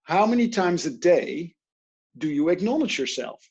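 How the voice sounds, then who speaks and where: Husky Dutch-accented man coaching. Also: sits high, normal speed. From Netherlands. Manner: animated, storytelling with quoted inner voices. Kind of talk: online interview. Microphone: video call.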